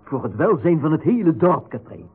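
Older professor, earnest and hesitant, highish animated voice, narrow-band radio drama sound. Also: male voice, moderate pace, slightly rough, full character voice. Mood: earnest, conspiratorial. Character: earnest old professor plotting detective work. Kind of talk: radio play acting. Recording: narrow phone-like radio sound, faint noise.

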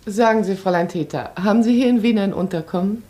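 An actress playing a self-assured, perceptive baroness in a radio play, speaking decisively, eloquently and with emphasis. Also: female voice, mid-range voice, fast, dark tone, natural voice. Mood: polite, practical.

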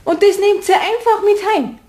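A woman playing a strict, scolding mother in a radio play, brisk and firm, with a Bavarian accent. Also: very high-pitched, mellow. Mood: indignant.

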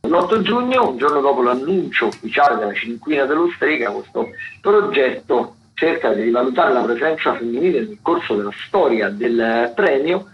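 A muffled radio recording with faint noise carries a man presenting arts listings. His voice sits at a medium pitch. He speaks semi-improvised, pausing to find words and often repeating a phrase before going on. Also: very animated. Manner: hesitant, searching for words with repetitions. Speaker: radio host presenting a theatre events roundup. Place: radio studio.

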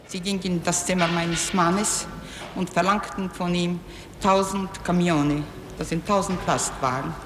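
A woman's voice: fairly low pitch, fairly even intonation, unhurried. A woman recounting events as courtroom testimony in an archival recording. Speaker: trial witness. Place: large courtroom. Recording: reverberant archival courtroom, faint noise.

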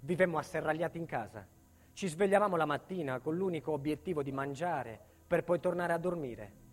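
A man's voice: a little high, natural voice. A male actor playing an embittered protected witness recounting a life in hiding, in a solemn, intense theatre monologue. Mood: weary, oppressed. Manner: solemn, intense, deliberate phrasing. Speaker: stage actor and narrator. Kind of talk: dramatic first-person theatre monologue.

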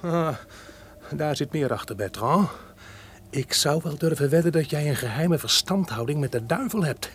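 Man playing an excitable, high-voiced soldier. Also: normal speed, natural voice. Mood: indignant, taunting. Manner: emphatic, indignant, theatrical. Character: excitable soldier insisting he saw a ghost. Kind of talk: old-fashioned radio drama acting. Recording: noisy old radio play recording.

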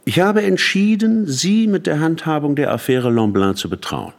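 A man's voice: a little high, lively intonation, moderate pace, gravelly. An actor playing an imperious, condescending male company boss in a radio drama, speaking in a commanding, pointed way. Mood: cold, commanding.

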